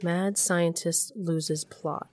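A woman's voice: on the low side, fairly even intonation, extremely slow, darkish tone. A woman playing a weary, distracted middle-aged mother, exasperated with her daughter, in an audio fiction drama. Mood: annoyed.

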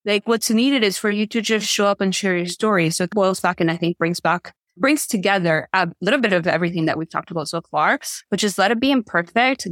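A woman's voice: lowish voice, fairly bright tone, no room sound. Casual woman coach, native Spanish speaker, dry sound. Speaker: podcast guest, leadership and visibility coach. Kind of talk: casual interview conversation.

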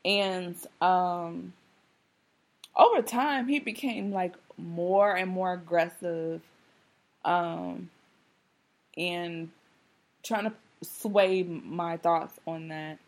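A woman in her thirties hosting a podcast, talking candidly and casually with a slightly hoarse voice. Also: fairly low pitch, extremely slow, long pauses. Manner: candid, conversational, many fillers. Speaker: podcast host. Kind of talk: casual monologue.

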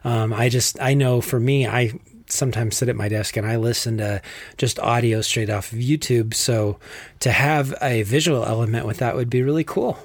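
A man's voice: medium pitch, steady tone, normal speed, no room sound. A man with a Texas accent co-hosting a faith podcast, chatting in an easygoing, rambling way. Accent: Texan. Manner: easygoing, rambling, laughs easily. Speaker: podcast co-host. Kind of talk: casual podcast chat.

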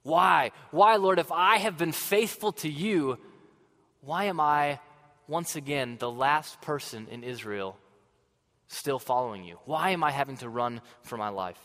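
A man playing a weary, passionate older prophet in a dramatic monologue to a congregation, earnest and swinging from fervent outbursts to hushed sadness. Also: fairly high pitch, unhurried. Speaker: dramatic first-person storyteller. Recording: long hall reverb.

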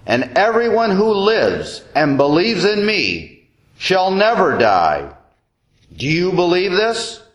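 Slow, expressive man reading scripture. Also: high voice. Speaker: deacon reading the Gospel. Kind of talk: solemn scripture reading in church. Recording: roomy, echoing church-like sound.

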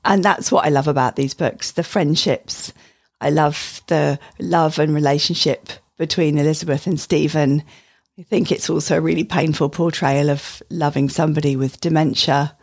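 A woman's voice: sits low, fairly even intonation, moderate pace, no room sound, clean studio sound. A woman giving a prepared book review as a podcast guest, speaking in a reflective, personal way. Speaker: advanced clinical practitioner, podcast guest.

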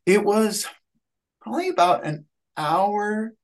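A man in his thirties answering interview questions on a video call, with halting phrasing and repeated words. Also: sits high, sing-song. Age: 30s. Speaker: guest, playwright and actor.

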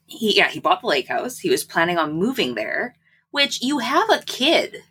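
Female co-host, medium pitch with animated swings, chatty book recap style, wry and sarcastic. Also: normal speed, slightly rough. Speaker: podcast co-host. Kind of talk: casual book recap chat.

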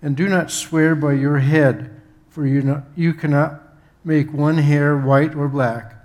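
A man's voice: on the high side, flat delivery, slow pace, a little mellow. A man reading scripture aloud in a careful way. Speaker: volunteer scripture reader.

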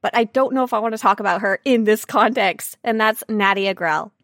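A woman co-hosting a podcast, chatting casually about game characters in a silly, animated, teasing way. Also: medium pitch, fairly quick, dry recording, clean studio sound. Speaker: podcast co-host.

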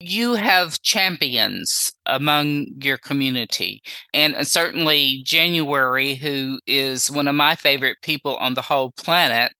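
A woman interviewing a guest, asking measured questions and offering praise. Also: extremely low pitch, pushed and bright, no room sound.

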